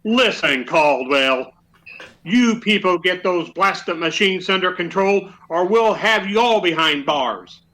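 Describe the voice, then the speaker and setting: A bossy police sergeant as played in a radio comedy blusters in a forceful, slow and emphatic delivery. The pitch swings widely with sarcasm and exasperation. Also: expressive. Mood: exasperated. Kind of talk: old-time radio comedy acting.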